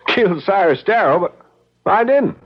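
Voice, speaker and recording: An actor playing a hot-headed, earnest young war veteran in a radio mystery, emphatic and apt to stammer. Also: male voice, high voice, animated, unhurried, full character voice. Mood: bewildered, protesting. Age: young adult. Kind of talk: old-time radio mystery acting. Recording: dull lo-fi radio drama recording.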